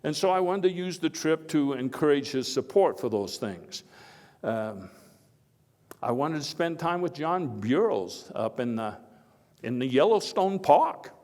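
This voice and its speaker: A man playing Theodore Roosevelt as a hearty, folksy elder statesman, giving a halting, oratorical first-person speech of reminiscence. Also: a little high, lively intonation, a little mellow. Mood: determined. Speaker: historical reenactor giving a speech. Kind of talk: first-person dramatized historical speech, storytelling. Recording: echoey hall with long reverb.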